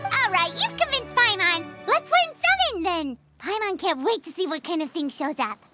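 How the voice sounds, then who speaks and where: Tiny squeaky kid-like game sidekick, super eager, bouncy, jingly music loud behind. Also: female voice, big pitch swings, normal speed. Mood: excited. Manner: speaks of herself in the third person. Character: tiny childlike female companion, eager and excitable. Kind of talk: video game character dialogue.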